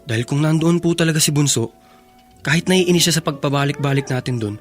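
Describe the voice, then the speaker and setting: An actor playing a respectful young student, an earnest and protective son, in a Tagalog radio drama. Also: male voice, on the high side, quick pace, soft background music, lightly acted. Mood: earnest, reassuring. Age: young adult.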